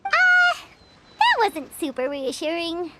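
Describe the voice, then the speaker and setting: Squeaky, very high-pitched tiny fairy sidekick. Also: female voice, wildly swooping pitch, slow pace, very noisy, exaggerated voice. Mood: eager. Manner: chirpy, refers to self in third person. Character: tiny childlike floating fairy companion, chirpy, food-loving. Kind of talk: animated game voice acting. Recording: loud music behind.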